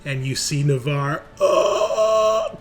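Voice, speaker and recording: A man's voice: on the high side, expressive, extremely slow. A man running a horror tabletop roleplaying game as game master, switching between dramatic narration and casual rulings. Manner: swears casually. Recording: clear background noise.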